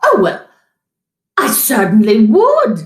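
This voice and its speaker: A woman voicing a sly, greedy male fox from a storybook, theatrical and gushing, with drawn-out words. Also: fairly high pitch, huge pitch swings, full character voice. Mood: flattered. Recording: roomy echo.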